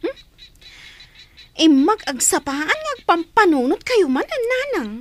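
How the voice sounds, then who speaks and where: A woman's voice: extremely high pitch, sing-song, measured pace, a little hiss or hum, full character voice. A young woman with an Ilocano Filipino accent playing an earnest, concerned daughter in a radio drama.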